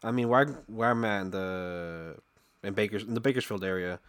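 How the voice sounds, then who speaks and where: A man's voice: fairly low pitch, a little fast, fairly bright tone. A man hosting a casual chat as a streamer, laid-back and often laughing as he talks. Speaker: streamer host. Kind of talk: casual conversation.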